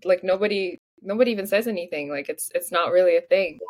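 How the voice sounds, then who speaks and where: Woman, low voice. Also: fast talker, a little mellow, low-quality recording. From Moldova. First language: Russian. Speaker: podcast host. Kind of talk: casual conversation.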